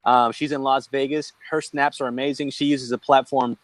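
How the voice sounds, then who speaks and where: A man hosting a group call, promoting enthusiastically and repeating links slowly. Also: mid-range voice, flat delivery, no room sound. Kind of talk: casual group video call.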